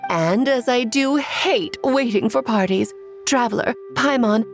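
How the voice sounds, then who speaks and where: A woman's voice: fairly high pitch, unhurried, soft background music. A woman voicing a playful, whimsical sorceress with a theatrical, breezy delivery in a video game.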